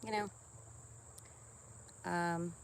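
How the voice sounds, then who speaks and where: A woman live streamer talking informally to her viewers in a halting, repetitive, insistent way. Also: lowish voice, steady tone, gravelly, slight background noise.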